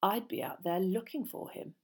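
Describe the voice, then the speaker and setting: A woman voicing a mean, taunting schoolboy bully in an audiobook, with quiet, breathy, drawling speech. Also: medium pitch, moderate pace, natural voice. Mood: sly taunting.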